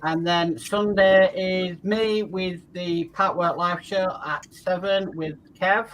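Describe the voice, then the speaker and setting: A man hosts a relaxed live stream, reading out comments and announcements. His voice is high for a man and bright, with some noise behind it. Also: slow pace, low-quality recording. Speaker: host.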